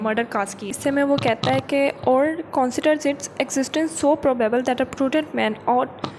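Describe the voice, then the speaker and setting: A woman's voice: on the high side, slight background noise. A woman lecturing on law, reading out clauses and then explaining them didactically, repeating points and mixing in English legal terms.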